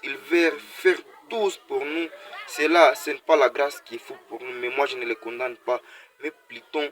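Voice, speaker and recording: A man's voice: medium pitch, fairly even intonation, moderate pace, a bit bright. Impassioned Congolese man exhorting his viewers. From Congo (DRC). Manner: exhortative, with repeated words and restarts. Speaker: video creator. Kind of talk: impassioned motivational monologue.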